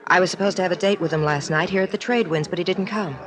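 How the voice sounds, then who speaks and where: A woman's voice: fairly low pitch, quick pace, lightly acted. A woman playing a nervous, stranded young woman in a radio drama, talking in an earnest, pleading, apologetic way. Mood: confessing. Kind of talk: 1950s radio drama acting.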